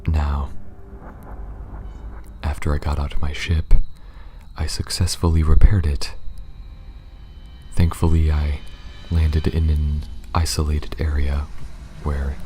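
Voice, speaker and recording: Man voicing a very deep, slow, gentle alien. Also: flat delivery, noisy background. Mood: apologetic, explaining. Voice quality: soft, very low, breathy, often near whisper. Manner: halting, careful, reassuring, wordy and formal. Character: gentle, apologetic, peculiar male alien spaceship pilot. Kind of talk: ASMR audio roleplay, scripted monologue addressed to listener.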